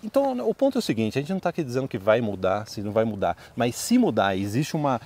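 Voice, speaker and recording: A man's voice: medium pitch, sing-song, fast talker, a little mellow. A middle-aged Brazilian man chatting casually as a video host and adviser, joking and emphatic, recorded outdoors. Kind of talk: casual two-host chat with promotional pitch. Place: outdoors in a wooded residential neighbourhood.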